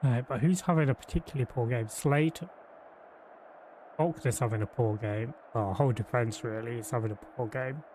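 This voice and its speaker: A man's voice: medium pitch, normal speed, darkish tone, a little hiss or hum. A man from Yorkshire streaming a football management game, chatting casually and thinking aloud with fillers and restarts. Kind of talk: live game commentary and chat.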